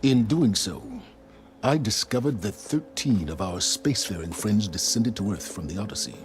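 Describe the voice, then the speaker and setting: Game role: male actor as grave, cunning older strategist, low register, measured dry sarcasm, scored underneath. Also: lively intonation, over music, natural voice. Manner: formal, measured, dryly sardonic. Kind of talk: cinematic video game acting.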